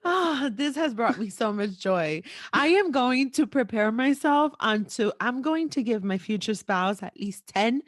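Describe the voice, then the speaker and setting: A woman's voice: a little high, expressive, a little slow, few pauses, no echo, studio quality. A woman hosting a podcast in a frank, informal, unscripted way, repeating phrases for emphasis. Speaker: podcast host. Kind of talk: candid, unscripted podcast conversation.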